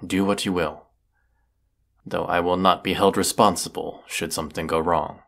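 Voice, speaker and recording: A man playing a brooding villainous wizard in a fantasy audio roleplay, stern and formal in his deliberate delivery. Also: low voice, fairly even intonation, creaky, a bit bright. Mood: curt, impatient. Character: brooding villainous wizard of the woods, secretly lovelorn.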